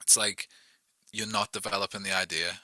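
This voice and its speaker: A man's voice: lowish voice, fairly even intonation, moderate pace, bright, forceful tone, dry recording. A young man with a Liverpool accent, a songwriter guest talking loosely and casually, full of "like" and "you know what I mean". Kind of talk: casual chat.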